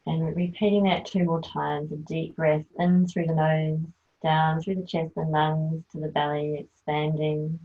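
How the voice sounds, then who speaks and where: A woman guides a yoga nidra relaxation in a soft, soothing voice. She speaks slowly, low and even, with barely any rise or fall. The sound is thin and tinny, as if heard over a phone. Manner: slow, soft, soothing instruction.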